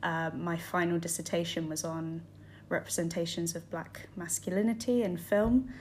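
A woman in her twenties co-hosting a podcast, relaxed and friendly, laughing often as she talks. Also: lowish voice, normal speed, a little hiss or hum. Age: 20s. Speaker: podcast co-host. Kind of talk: casual chat.

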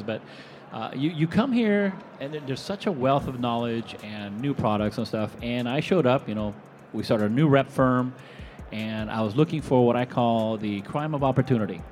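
A man's voice: medium pitch, a little hiss or hum. A man in his forties from Venezuela, a guest telling his story in a casual, rambling way on a live expo stage. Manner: rambling, animated, acts out quoted voices. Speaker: guest, product manufacturer.

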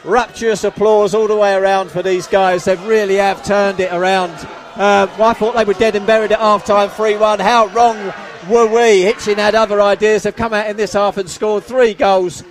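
Excitable high-voiced football commentator. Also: male voice, moderate pace, few pauses. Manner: excitable, shouts at goals, self-mocking. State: losing his voice. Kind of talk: live play-by-play commentary. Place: outdoors at a football ground. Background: crowd noise.

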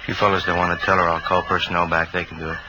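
Man playing a low, quick, flat American detective. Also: shouting, lightly acted. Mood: businesslike. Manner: clipped, matter-of-fact. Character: businesslike male homicide detective, plain-spoken colleague. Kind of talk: 1950s radio police drama. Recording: old radio broadcast, clearly noisy.

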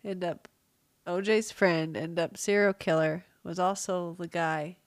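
A woman's voice: lowish voice, steady tone, measured pace, no room sound, clean studio sound. A woman co-hosting a true crime podcast in casual chat, congested and laughing often. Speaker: podcast co-host.

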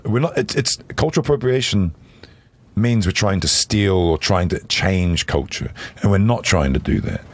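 A deep-voiced New man of middle age talks loosely in a podcast chat. His very low voice carries a husky grain. His pitch jumps from high, excited lines down to rumbling lows. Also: moderate pace, dry recording. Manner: animated, swoops between high and very deep. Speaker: podcast guest.

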